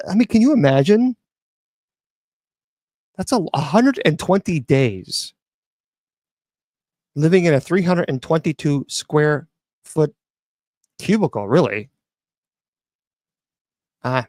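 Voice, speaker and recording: A man's voice: medium pitch, a little mellow, no echo, clean studio sound. A man hosting an open mic show, reading news stories aloud and chatting about them with frequent restarts. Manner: chatty, reads news aloud then riffs with many restarts. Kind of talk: casual commentary on news stories.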